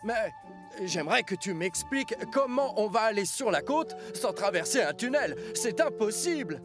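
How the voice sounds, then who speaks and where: Very high-pitched, proud steam engine. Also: male voice, very animated, moderate pace, over music. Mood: apologetic, doubtful. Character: proud, stubborn male steam engine, stickler for rules. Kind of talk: children's cartoon dubbing.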